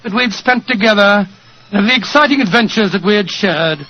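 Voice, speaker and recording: Old doctor on 1940s radio, high voice, avuncular storyteller, dull old recording. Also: male voice, a little fast. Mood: nostalgic. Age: older. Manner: avuncular, hesitant, chuckling storyteller. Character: genial, nostalgic aging English doctor telling old adventures. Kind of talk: 1940s radio drama acting.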